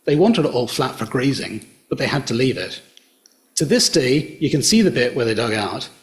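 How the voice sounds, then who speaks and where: A middle-aged Australian man speaks to a gathered audience in a hotel function room. He retells old folk tales in a measured, unhurried storyteller's manner, at a pitch typical for a man. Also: big pitch swings. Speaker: local history presenter. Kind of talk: public talk retelling folklore.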